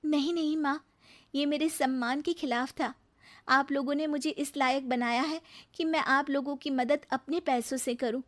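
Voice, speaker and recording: A young married daughter from a cartoon morality tale, played by a woman, with a high voice. She is dutiful and self-respecting, earnest and placating in the way she talks. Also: steady tone, normal speed, a bit bright. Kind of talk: Hindi cartoon moral story acting.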